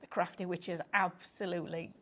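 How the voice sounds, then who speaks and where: A woman presenting a live craft shopping show, chatty and upbeat, explaining things step by step, her voice a little croaky. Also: on the low side, flat delivery, normal speed, pushed and bright. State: slightly croaky voice.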